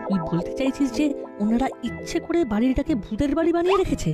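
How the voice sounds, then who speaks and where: A woman's voice: high-pitched, expressive, quick pace, loud music behind the voice. A woman voicing a cautious young village boy in a cartoon, questioning things in a matter-of-fact way. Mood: doubtful. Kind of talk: cartoon dialogue.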